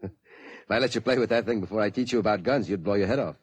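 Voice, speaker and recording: A man playing a genial, indulgent middle-aged father in 1950s radio drama style, hearty and folksy, with a husky voice. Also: fairly low pitch, fast, darkish tone, no echo. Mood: fatherly, hearty.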